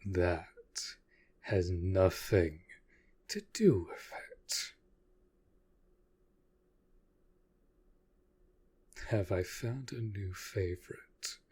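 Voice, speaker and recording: Vampire lord of the manor, played by a man: very low, husky voice, formal and commanding, protective, for audio roleplay. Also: a little mellow, heavily acted. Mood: cold, possessive. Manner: formal, measured, authoritative. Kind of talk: scripted audio roleplay acting.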